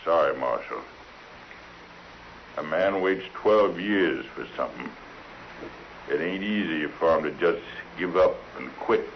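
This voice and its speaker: A man's voice: low voice, lively intonation, measured pace, pauses often. A man playing a cocky, menacing outlaw with taunting frontier talk in a 1950s western radio drama. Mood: low, bitter menace. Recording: dull, hissy old radio recording.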